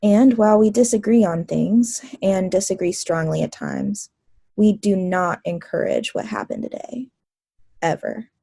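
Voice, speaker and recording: Gravelly, husky woman reader. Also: medium pitch, unhurried, dark tone, dry recording, clean studio sound. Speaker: reader at a church table reading. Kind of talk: reading quotations aloud.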